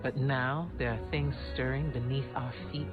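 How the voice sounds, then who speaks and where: A man narrating a game trailer in a grave, measured way. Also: medium pitch, fairly even intonation, close to an everyday voice. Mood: solemn. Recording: tinny game trailer audio with music.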